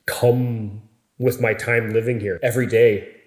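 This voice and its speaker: An American man talking to camera in a casual vlog, speaking slowly and clearly. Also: mid-range voice, little pitch movement. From United States. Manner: slow, deliberate, clearly spoken. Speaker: vlogger. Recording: echoey room.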